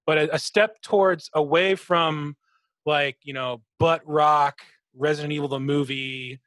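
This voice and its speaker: A man's voice: on the high side, steady tone, measured pace, a bit bright, no echo. A man co-hosting a podcast, casually analysing a video game and often searching for his words. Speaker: podcast co-host.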